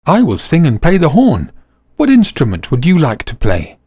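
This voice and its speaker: A man's voice: a little high, huge pitch swings, normal speed, dark tone, husky, no room sound, studio quality, voice pushed up, full character voice. A man voicing an old, stubborn female donkey who is hopeful and friendly, in a storybook reading. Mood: cheerful.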